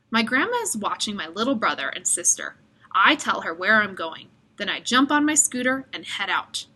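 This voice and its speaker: A woman reading a children's story aloud with expressive delivery. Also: medium pitch, slightly rough. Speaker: storybook reader.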